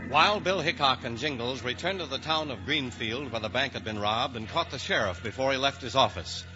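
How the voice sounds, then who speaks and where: A man's voice: mid-range voice, brisk. Male old-time radio narrator over music. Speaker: narrator of a western radio serial. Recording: dull vintage radio recording over music.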